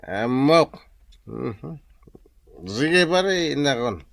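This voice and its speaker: A silly, cowardly husband in an Ilocano comedy radio play. His mid-pitched voice lurches widely up and down. He talks in whiny exclamations with an Ilocano accent, like a hapless drinker. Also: lively intonation, extremely slow, gravelly, fairly bright tone, no echo, exaggerated voice. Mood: muddled, reluctant. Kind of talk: comedic radio drama acting.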